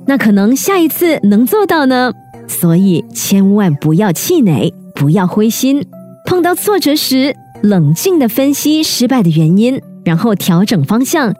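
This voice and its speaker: A woman storyteller with a fairly high, very lively voice addresses young children directly. She narrates expressively as host, over light children's music.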